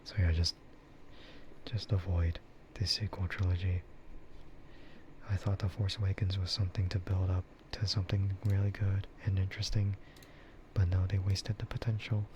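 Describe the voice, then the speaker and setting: A man speaking softly in a breathy half-whisper, rambling casually and hesitantly like an ASMR streamer close to the mic. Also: sits low, flat delivery, normal speed. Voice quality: soft, breathy, often whispered. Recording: muffled lo-fi stream audio, clear background noise.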